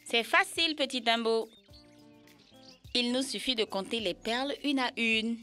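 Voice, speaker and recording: A woman's voice: fairly high pitch, measured pace, sharp tone. A woman voicing a cheerful, helpful female animal friend in a French-dubbed children's cartoon, playful and encouraging. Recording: music under the voice.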